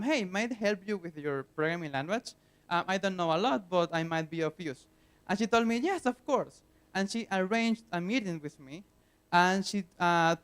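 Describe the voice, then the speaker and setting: Venezuelan guy explaining stuff at a conference, highish voice, smooth and clear, lots of uhs. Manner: earnest, plain explaining with frequent fillers. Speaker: conference speaker. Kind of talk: technical conference talk. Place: conference hall.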